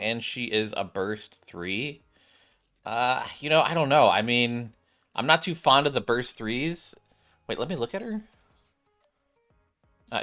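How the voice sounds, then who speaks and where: A young man doing casual game commentary, reading on-screen text aloud and thinking out loud. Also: lowish voice. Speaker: gaming streamer.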